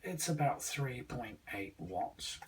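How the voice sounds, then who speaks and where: A man giving a casual, halting, unhurried hands-on demonstration, thinking aloud as he goes. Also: mid-range voice, big pitch swings, far from the mic, rough recording. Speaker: radio hobbyist reviewer.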